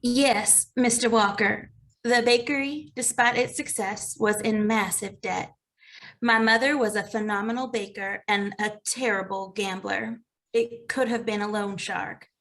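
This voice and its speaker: A woman reading an earnest, grieving woman client in an animated comedy mystery table read. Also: mid-range voice, unhurried. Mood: matter-of-fact.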